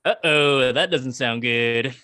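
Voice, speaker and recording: A woman's voice: a bass voice, takes it slowly, bright, forceful tone, smooth, full character voice. A woman reading the part of a supportive boyfriend who is a doctor, eager at first and then hurt and stammering.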